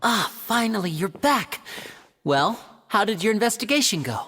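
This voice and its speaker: A woman's voice: mid-range voice, lively intonation, moderate pace, fairly bright tone. A woman voicing a courteous, composed young male stage magician in a video game. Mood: relieved. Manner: polite, composed, smooth-talking.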